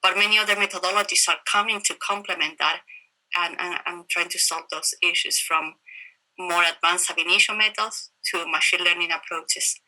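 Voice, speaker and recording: A woman with a Chilean Spanish accent, a professor explaining her research in an interview over a video call, phrasing her answers in a halting, reflective way. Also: on the low side, steady tone, pushed and bright, rough recording. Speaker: interviewed professor of computational chemistry.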